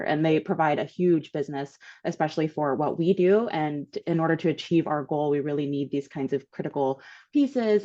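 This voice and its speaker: A low-voiced woman from Hawaii comes through dull, muffled video call audio. She asks a long, earnest question with a lot of appreciation, rambling as she goes. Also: mellow. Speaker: meeting participant.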